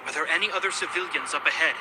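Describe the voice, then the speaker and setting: An actor playing an earnest, dutiful soldier of a city guard in a video game, speaking with command. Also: male voice, a little high, rapid-fire, lightly acted. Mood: firm, reassuring. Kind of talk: English game dub dialogue. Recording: clearly noisy, game ambience behind.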